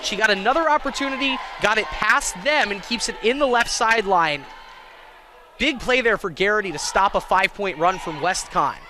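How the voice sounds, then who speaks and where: High-voiced male volleyball play-by-play commentator. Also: normal speed, bright, forceful tone. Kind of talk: live college volleyball commentary. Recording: clear gym noise, bouncing balls. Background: indoor volleyball match ambience.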